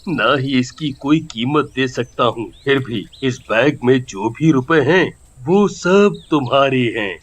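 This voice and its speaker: A man playing a grieving, remorseful shopkeeper in a moral story cartoon, speaking in a heavy, measured, sorrowful way. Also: medium pitch, animated, pitched below the performer's own voice. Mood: grateful. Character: remorseful, grieving male shopkeeper, grateful boss.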